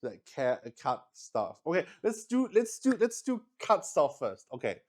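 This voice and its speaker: Slow, hesitant man. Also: fairly high pitch, huge pitch swings, a little mellow. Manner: hesitant, rambling, thinking aloud. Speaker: streamer hosting a solo tabletop roleplay stream. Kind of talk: casual improvised livestream chat.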